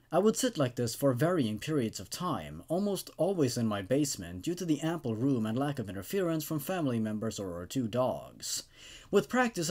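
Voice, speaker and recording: A man narrates a scripted first-person horror tale. His voice sits at an average pitch but moves widely up and down. The delivery is measured and dramatic, that of a storyteller reading aloud. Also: darkish tone.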